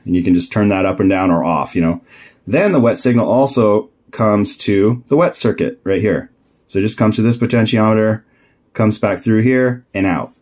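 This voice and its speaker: A man's voice: lowish voice, a little mellow. A man giving a casual, unscripted DIY tutorial, thinking aloud and correcting himself in an easygoing way. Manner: easygoing, laughs at own mix-ups. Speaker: DIY electronics builder and presenter.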